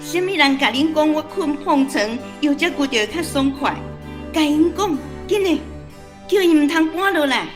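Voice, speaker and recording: A woman's voice: high-pitched, lively intonation, moderate pace, music in the background. A woman playing a stubborn, playful old grandmother in a radio drama, homely and plain-spoken, with a Taiwanese accent. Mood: stubborn refusal.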